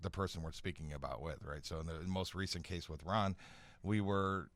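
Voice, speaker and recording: A man speaking informally as an expert guest in a radio interview, explaining haltingly, repeating phrases and often checking for agreement. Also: deep voice, steady tone, fairly bright tone, dry recording. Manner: hesitant explaining, repeats phrases, seeks agreement with "right?" and "you know". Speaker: guest expert on home accessibility. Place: radio studio.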